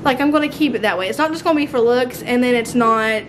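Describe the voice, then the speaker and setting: A woman's voice: a little high, quick pace, a little hiss or hum. A woman, chatting casually and ramblingly to camera indoors at home. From United States. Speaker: homemaking vlogger. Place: indoors, open-plan living room and kitchen of a mobile home. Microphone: handheld camera at arm's length.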